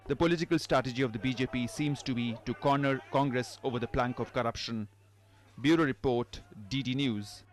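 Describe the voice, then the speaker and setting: A man with an Indian accent narrating a scripted news report as a reporter. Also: mid-range voice.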